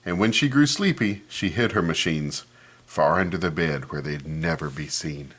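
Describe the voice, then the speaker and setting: Low-voiced man reading a picture book. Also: moderate pace, a bit bright. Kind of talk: children's story read-aloud with page commentary.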